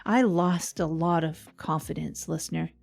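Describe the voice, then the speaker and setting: A woman's voice: low-pitched, fairly even intonation, normal speed, vocal fry, a little mellow, soft background music. A woman playing a self-deprecating radio host who rambles wryly through a scripted comedic confession. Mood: rueful, wry. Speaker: fictional radio host and narrator.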